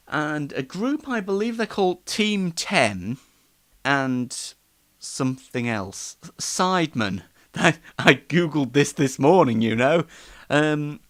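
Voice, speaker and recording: A man's voice: on the high side, fairly bright tone. A man hosts an online show in a casual, rambling, self-deprecating live monologue.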